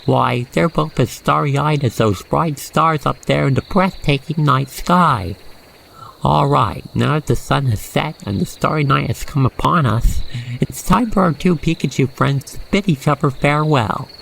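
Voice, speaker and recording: An expressive narrator guiding the listener through an ASMR roleplay story in a gushing, sentimental manner. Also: medium pitch, a little slow, creaky, a little hiss or hum, rough recording.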